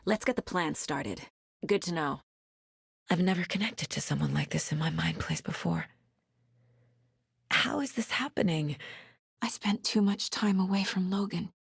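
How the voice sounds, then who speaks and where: Serious, determined female investigator, lowish voice. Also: moderate pace, creaky, pauses often, slightly rough, no echo, studio quality, exaggerated voice. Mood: hushed, pondering. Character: determined, sharp female FBI investigator, guarded yet driven. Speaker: video game voice actress. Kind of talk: naturalistic video game dialogue acting.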